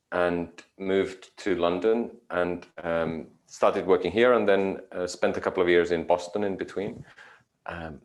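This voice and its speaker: A man from Finland, a yoga teacher giving measured, thoughtful interview answers with frequent pauses. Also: low voice. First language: Finnish.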